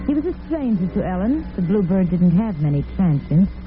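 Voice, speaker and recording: A woman's voice: on the low side, big pitch swings, brisk. A woman giving dramatic scripted narration as the storyteller of a radio drama. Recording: tinny vintage radio recording with music.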